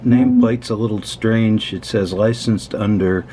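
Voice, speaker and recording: A man's voice: lowish voice, fairly even intonation, unhurried, dark tone, slight background noise, poor audio. A man casually talking through a hands-on teardown as host, matter-of-fact and tentative as he thinks aloud. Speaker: host of an electronics teardown video. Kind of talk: casual unscripted hands-on explanation.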